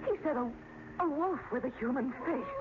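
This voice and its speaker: A frightened small-town woman in an old radio play. Her urgent, halting speech moves widely in pitch. The sound is thin as a telephone and buried in loud noise. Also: big pitch swings. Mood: shaken. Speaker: actress in a radio drama. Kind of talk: old-time radio drama acting. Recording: tinny, very noisy old radio recording.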